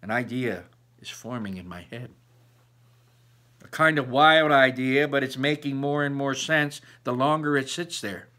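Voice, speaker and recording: A man reading a children's novel aloud expressively, with emphatic pauses and thoughtful asides to the listener. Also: mid-range voice, bright, forceful tone. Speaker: read-aloud narrator.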